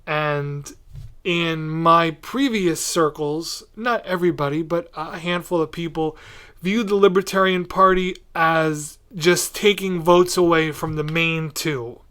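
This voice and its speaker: A man hosting a podcast, chatting casually in a tentative, agreeable, halting way. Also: a little high, steady tone, fairly bright tone, smooth. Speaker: podcast host. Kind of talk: casual interview chat.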